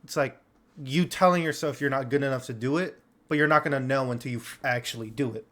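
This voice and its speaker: A young man hosting an interview, asking questions in a halting way full of fillers and loose phrasing. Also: medium pitch, fairly bright tone. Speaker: podcast interviewer host.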